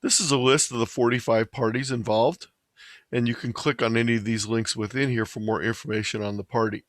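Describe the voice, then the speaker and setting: A man's voice: mid-range voice, fairly even intonation, brisk, dry recording. Man reading a presentation, very dry sound. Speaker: presenter of an amateur radio slideshow. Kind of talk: scripted presentation narration, read aloud.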